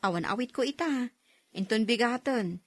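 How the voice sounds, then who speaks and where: Persistent young-spirited female peddler, very high voice, Ilocano accent, dry studio radio drama. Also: expressive, normal speed, no echo. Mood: easygoing, tired. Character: hardworking single woman street vendor, cheerful and persistent.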